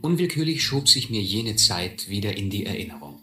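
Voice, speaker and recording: A middle-aged actor playing a reflective man who recalls his boyhood, narrating a radio play in measured, literary style. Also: on the low side, big pitch swings, over music. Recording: echoey old recording, faint noise.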